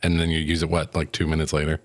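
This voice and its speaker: A man's voice: very deep voice, completely flat delivery, fast talker, no echo. A man co-hosting a casual film review, agreeable and slangy, often speaking in short remarks. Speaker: podcast co-host reviewing films.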